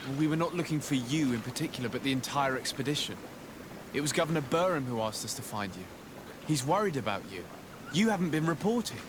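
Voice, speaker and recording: Man acting a measured, earnest young diplomat-adventurer. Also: mid-range voice, expressive, background noise, natural voice. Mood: matter-of-fact. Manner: measured, earnest, formal diction. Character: composed young male diplomat-adventurer, courteous and reasoning. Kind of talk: video game cutscene acting.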